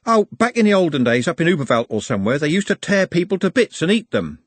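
A matter-of-fact know-all from a storybook voiced by a male narrator. He makes folksy pronouncements in a lively, husky voice. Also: fairly high pitch, fast talker, no echo, voice pushed up. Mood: sage, matter-of-fact. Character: opinionated, matter-of-fact male bus passenger.

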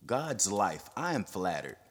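A man reading an audiobook, voicing a cool gentleman pirate who sounds careless and amused. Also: sits low, expressive, moderate pace, a little hiss or hum. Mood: mocking.

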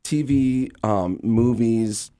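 A man from Louisiana speaking as an actor guest in an interview, telling stories in an enthusiastic, conversational and animated way. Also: medium pitch, slow pace, smooth. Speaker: interview guest, actor and radio drama creator.

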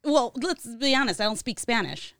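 A woman's voice: fairly high pitch, animated, normal speed, a bit bright, no room sound, clean studio sound. A woman chatting casually as a podcast co-host, joking and laughing often, with frequent swearing. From Mexican-American, United States. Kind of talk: casual chat.

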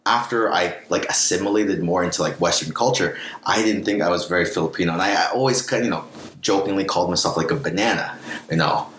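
A man in his late twenties with a western Canadian accent talks casually about himself in a low voice. He is recorded in a reverberant room with faint background noise. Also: fairly bright tone. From Vancouver, Canada. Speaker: podcast guest. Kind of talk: casual personal storytelling.

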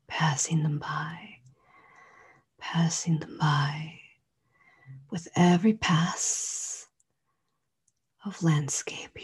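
A woman leads a guided meditation in a very breathy voice that often sinks into a whisper. Her pace is very slow, with soothing, drawn-out phrases. The voice sits on the low side and has a hypnotic, repetitive flow. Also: a little mellow.

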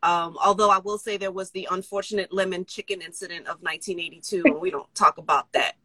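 A middle-aged woman comedian from New City, chatting as a guest with jokey storytelling and quick asides, on a phone. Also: on the low side, steady tone, bright, forceful tone, poor audio. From New York City. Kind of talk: casual interview chat.

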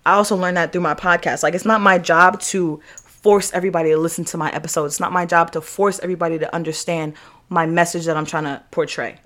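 A woman's voice: on the low side, fairly even intonation, fast, fairly bright tone. A young American woman hosting a podcast, giving a casual motivational monologue in a candid, emphatic, slangy way with swearing and repeated phrases. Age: young adult. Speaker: podcast host.

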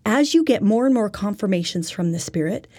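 A woman's voice: medium pitch, animated, brisk, a little mellow, husky. A woman presenting a religious self-help lesson in an earnest, instructive way.

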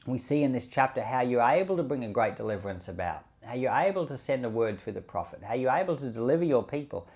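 A man's voice: mid-range voice, expressive, fast talker, few pauses, a little mellow. A man speaking as a pastor on a Bible podcast, explaining scripture in a conversational way with rhetorical questions. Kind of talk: Bible teaching podcast with commentary and prayer.

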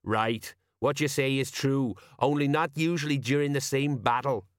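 A man's voice: medium pitch, moderate pace, clear, resonant tone, dry recording, studio quality, voice pushed up, natural voice. A man voicing a defensive, chatty older working-class police sergeant who rambles in colloquial, folksy speech, for an audiobook. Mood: exasperated.

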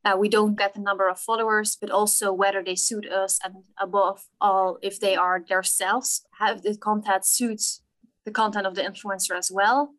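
A Dutch-accented woman in her twenties speaks as a podcast guest. She talks earnestly, running through lists with small fillers, in a mid-pitched voice. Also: flat delivery, normal speed, a bit bright. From Netherlands. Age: 20s. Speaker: marketing team lead guest. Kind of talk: casual interview chat.

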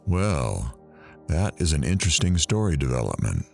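A man's voice: very deep voice, sing-song, moderate pace, vocal fry, darkish tone, faint music behind, full character voice. An actor playing a furtive man who snoops on people, talking conversationally in an audio drama. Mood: calm, calculating. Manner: conversational, thinking aloud.

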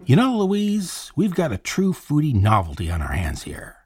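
A cynical food critic and podcaster in an audio drama. His voice is husky and swings widely in pitch, with a sardonic, showy edge. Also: wildly swooping pitch, moderate pace, heavily acted. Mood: polished, enthusiastic.

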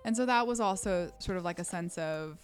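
Low-voiced young woman chatting casually. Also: fairly quick. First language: Korean. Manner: chatty, many "like" fillers, laughs often. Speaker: podcast guest. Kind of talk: casual conversation.

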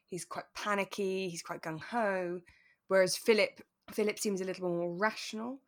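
Female co-host for a relaxed review podcast: mid-pitched voice, thoughtful, explains things conversationally. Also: moderate pace. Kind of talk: casual chat reviewing a radio drama.

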